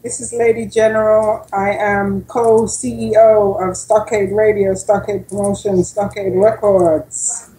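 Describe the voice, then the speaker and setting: Female radio regular, very relaxed tone, slow casual delivery, recorded in an echoey space. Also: mid-range voice. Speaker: radio co-CEO and show regular. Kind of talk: casual self-introduction on talk show. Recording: echoey room on online call.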